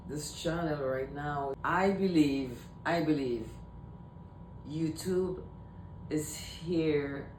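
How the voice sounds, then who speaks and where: A woman from Trinidad and Tobago with a Trinidadian accent, talking casually to camera in a rambling way and often repeating herself. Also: a little mellow. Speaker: vlogger. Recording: echoey room, mic at a distance, faint rumble.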